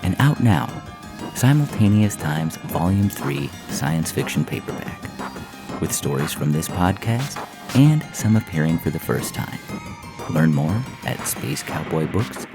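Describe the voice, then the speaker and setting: A man reads scripted podcast credits as host. His voice is deep with plenty of gravelly fry, and music runs underneath. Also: sing-song, unhurried.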